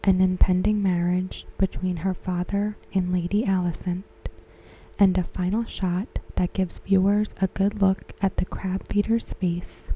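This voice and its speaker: A woman softly narrating a scripted ASMR recap in a gentle, measured way, with a breathy, whispery voice. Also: fairly low pitch, little pitch movement, poor audio. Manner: gentle, measured, carefully phrased. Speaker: ASMR host reviewing a TV episode.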